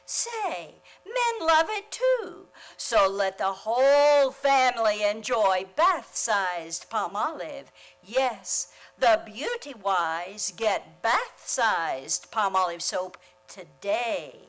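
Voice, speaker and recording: A woman announcer reading a vintage 1940s-style radio commercial with a deliberate, persuasive sales delivery. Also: medium pitch, lively intonation, a bit bright, rough recording. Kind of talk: 1940s radio advertisement read. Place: theater stage, radio play performance.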